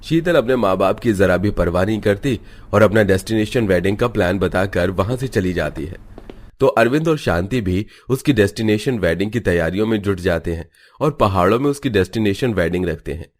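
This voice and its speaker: A man's voice: lowish voice, animated, brisk. A man giving scripted storytelling narration for a cartoon.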